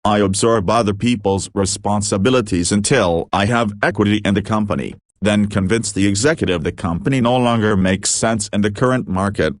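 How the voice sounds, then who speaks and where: A man narrating online posts aloud in a steady read-aloud manner, speaking each post in the first person. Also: fairly low pitch, fairly quick, hardly any pauses, no room sound.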